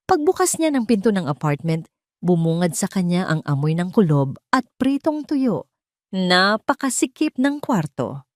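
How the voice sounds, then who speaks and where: A woman narrating a scripted audio drama in a steady, measured storytelling manner. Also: on the low side, no room sound, studio quality.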